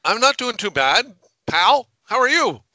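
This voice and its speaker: A man co-hosting a casual podcast, an animated storyteller who laughs often. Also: extremely high pitch, measured pace, no room sound. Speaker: podcast co-host.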